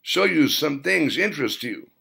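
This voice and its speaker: A man's voice: a little high, animated, moderate pace, creaky, bright, forceful tone, voice pushed up, full character voice. A man voicing a wizened old mountain man in an audiobook, folksy and grumbling, with clipped rural grammar.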